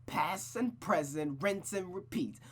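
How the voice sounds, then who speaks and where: Woman acting out a cruel, venomous mother, swooping pitch. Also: animated, slightly rough, full character voice. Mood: scornful fury. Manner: theatrical, drawn-out, scornful delivery.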